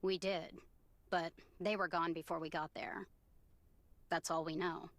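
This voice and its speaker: A woman's voice: lowish voice, little pitch movement, measured pace, dry recording. An actress playing a blunt, wary survivor townswoman in a video game, speaking matter-of-factly. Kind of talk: dramatic video game dialogue.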